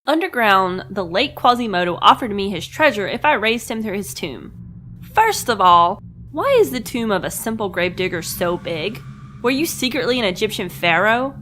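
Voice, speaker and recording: A woman's voice: medium pitch, pushed and bright. A young adult woman delivering scripted comedic narration like a diary entry, with a sarcastic, crude and emphatic manner. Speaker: host of a comedic gaming web series.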